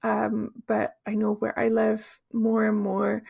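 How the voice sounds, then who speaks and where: A hoarse woman teaching English, patiently explaining vocabulary from a short story with frequent pauses and restarts. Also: medium pitch, monotone, darkish tone.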